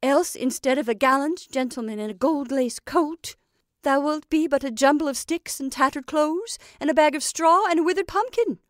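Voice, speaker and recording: A woman voicing a doting, sharp-tongued old witch with a high, sharp tone. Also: moderate pace, no room sound, studio quality, close to an everyday voice. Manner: fond and coaxing, archaic speech.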